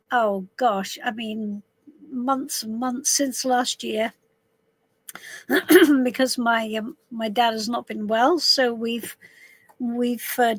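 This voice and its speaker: A middle-aged woman from southwest London, her voice a little husky, chatting casually to viewers on a live stream from inside a camper van, calling people darling. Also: a little high, dry recording, low-quality recording. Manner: chatty, warm greetings to viewers, calls people darling and love. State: a bit husky voice. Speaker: tarot reader hosting a live stream.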